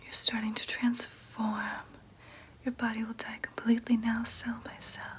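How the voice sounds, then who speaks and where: Casting: woman to play gentle, businesslike female vampire nurse for ASMR roleplay; breathy whisper throughout, soothing clinical bedside manner, fairly high-set, level melody. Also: monotone, normal speed. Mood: soothing, coaxing. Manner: soothing, clinical, hesitant asides.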